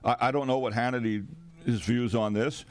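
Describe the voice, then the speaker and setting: A man with a middling pitch co-hosts in very dry, close studio sound. His political chat is casual, halting and tentative. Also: steady tone, no room sound. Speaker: radio co-host.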